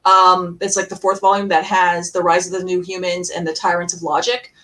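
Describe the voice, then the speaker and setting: A woman co-hosting a casual fan podcast, gushing and emphatic, often doubling back on her phrases in a halting way. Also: on the low side, level tone, fairly bright tone. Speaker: podcast co-host. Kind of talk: casual fan panel discussion.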